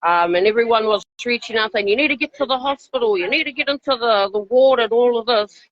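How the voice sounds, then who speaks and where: A woman's voice: a little high, quick pace. New Zealand woman activist, dull stream audio. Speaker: activist interviewee. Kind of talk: informal live interview account. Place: outside a hospital, live call.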